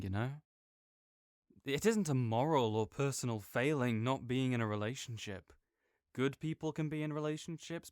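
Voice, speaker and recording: A man's voice: mid-range voice, no room sound, studio quality. A young man in an audio roleplay, talking as a supportive friend in a playful, reassuring way.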